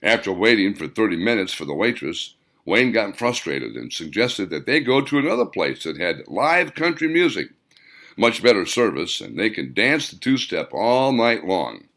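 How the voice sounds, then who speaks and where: Low-pitched man narrating with lively swings. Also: normal speed. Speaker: author narrating own audiobook. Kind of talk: scripted story narration.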